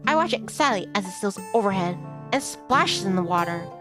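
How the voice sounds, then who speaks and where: A woman's voice: medium pitch, expressive, brisk. A woman streamer reading a visual novel aloud, mixing narration with casual asides. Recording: music behind the voice.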